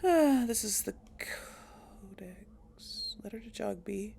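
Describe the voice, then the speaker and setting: A woman's voice: medium pitch, animated, takes it slowly, creaky, darkish tone, slight background noise. A young woman chatting casually through a video game, thinking aloud and correcting herself as she goes. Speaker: let's play host. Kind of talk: casual gameplay commentary.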